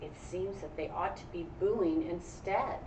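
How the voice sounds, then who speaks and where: A woman voicing a gentle, modest female ballet-dancing cow from a storybook, sweet and earnest. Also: lowish voice, measured pace, natural voice. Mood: puzzled. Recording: echoey room, distant mic, faint noise.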